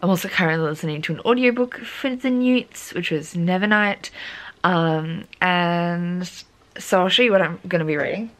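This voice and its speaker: A woman in her twenties, a book vlogger, chatting casually at home with plenty of ums and asides, her voice gone croaky. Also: on the low side. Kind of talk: casual chat.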